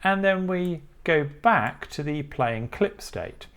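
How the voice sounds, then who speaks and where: A man's voice: on the high side, expressive, slow, fairly bright tone, smooth. A man hosting an electronics tutorial, narrating each step in an informal, methodical, explanatory way. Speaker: host of an electronics tutorial channel.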